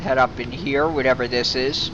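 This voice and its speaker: A man's voice: mid-range voice, steady tone, normal speed. A man giving casual live gameplay commentary as a streamer, chatting to his viewers. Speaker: gaming streamer. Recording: dull, noisy stream audio.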